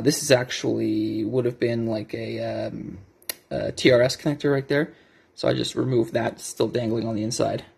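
A man's voice: mid-range voice, gravelly, darkish tone, breathy edge. A man giving a casual, unscripted show-and-tell as a hobbyist, hesitant and correcting himself, recorded on a handheld cell phone indoors at a desk. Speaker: hobbyist video maker.

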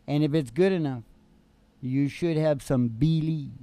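A man's voice: fairly high pitch, unhurried, warm tone, no echo. An older man hosting in a casual, rambling motivational style with a folksy, teasing manner, speaking into a stage microphone. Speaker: podcast host and musician. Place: small curtained stage studio. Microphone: stand-mounted vocal microphone. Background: acoustic guitar.

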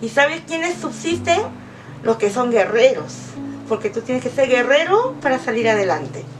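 A woman's voice: sing-song, normal speed. Woman in her fifties, from Peru, emphatic. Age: 50s. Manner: emphatic, rising pitch when stressing points. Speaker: interviewed migrant. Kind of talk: earnest interview answers. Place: small indoor office. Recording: distant mic with background guitar music.

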